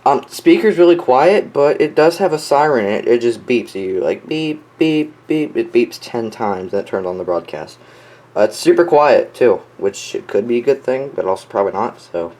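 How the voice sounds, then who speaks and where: A young man giving a casual, offhand showcase of his collection, halting and often trailing off. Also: mid-range voice, lively intonation, darkish tone. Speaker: collector showing weather radios. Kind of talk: casual product showcase vlog.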